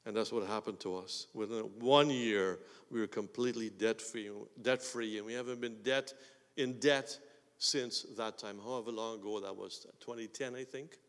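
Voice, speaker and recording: A man with a Guyanese accent preaching a sermon as pastor, swinging between quiet asides and loud emphatic bursts. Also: on the low side, fairly even intonation, moderate pace. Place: church sanctuary. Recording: long hall reverb.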